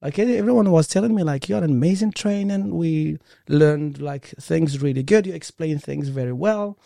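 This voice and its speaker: Higher-voiced Moroccan man, slightly creaky. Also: normal speed, mellow, dry recording, clean studio sound. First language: Moroccan Arabic. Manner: earnest, frequent "like" and "you know". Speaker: interview guest, performance coach. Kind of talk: casual personal storytelling in an interview.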